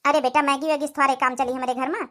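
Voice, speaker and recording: Woman doing picky city mother in law, cartoon comedy, polite n matter of fact, high voice. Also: level tone, racing, a bit bright. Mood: dismissive. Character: visiting city mother-in-law, polite but choosy matchmaking mother.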